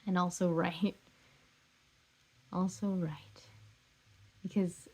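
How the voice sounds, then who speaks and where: A woman's voice: lowish voice, vocal fry, a little mellow, low-quality recording. A woman performing a stage drama role as a heartbroken, insistent jilted lover, pleading emphatically and repeating herself. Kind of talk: stage drama scene and monologue.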